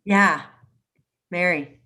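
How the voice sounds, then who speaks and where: A woman's voice: lowish voice, extremely slow, sharp tone. A woman informally hosting and moderating a panel discussion on a video call. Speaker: event host and moderator.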